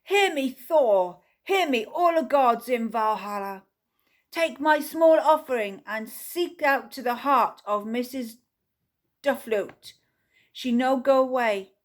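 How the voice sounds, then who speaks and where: A woman voicing a Viking man who speaks halting broken English in a storybook reading. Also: high-pitched, lively intonation. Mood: solemn. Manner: halting, solemn pleading.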